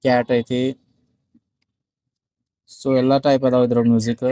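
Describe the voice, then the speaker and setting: A man's voice: medium pitch, level tone, moderate pace, warm tone, no room sound. A man with a North Karnataka Kannada accent presenting a product in a casual unboxing style, with repetitive sales patter and English words mixed in. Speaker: shop video host and product presenter.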